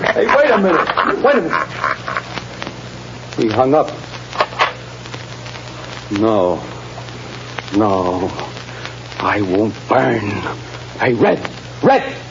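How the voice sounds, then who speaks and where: An actor playing a tough but easily rattled gangster in an old radio drama, talking in agitated slang. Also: male voice, mid-range voice, wildly swooping pitch, drawn-out words, gravelly, pauses often, heavily acted. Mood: panicked. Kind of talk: 1930s-40s radio drama acting. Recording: noisy, muffled old radio recording.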